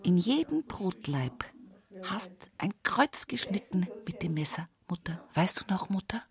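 A slow, low-voiced Bavarian country daughter. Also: female voice, big pitch swings, gravelly, exaggerated voice. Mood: hushed reminiscing. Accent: Bavarian, Upper Palatinate. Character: earthy rural daughter reminiscing at her mother's sickbed. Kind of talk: radio play monologue.

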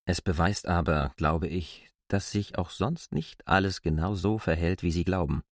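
An actor playing a stubborn, self-assured outsider in a radio drama, reasoning articulately and insistently. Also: male voice, low-pitched, steady tone, normal speed, dry recording, clean studio sound. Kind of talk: literary radio drama acting.

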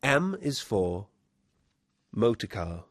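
A man performing whimsical verse theatrically, between singing and speech, with drawn-out delivery. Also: on the low side, sing-song. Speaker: performer and narrator. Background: musical instruments.

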